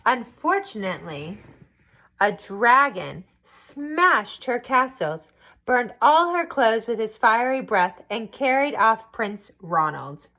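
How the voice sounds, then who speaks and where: A woman reads a picture book aloud to children. Her fairly high voice rises and falls with lots of melody, and she takes her time. She adds little asides to her listeners as she goes. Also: sing-song, slow pace, pushed and bright, slight background noise. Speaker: storyteller reading aloud to children.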